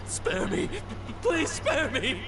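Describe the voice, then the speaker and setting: An actor playing a frightened, high-strung herb picker in a video game, stammering and pleading in alarm. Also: male voice, huge pitch swings, takes it slowly, over a noisy crowd, heavy background noise, heavily acted. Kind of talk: video game character acting. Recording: game sounds loud behind the voice.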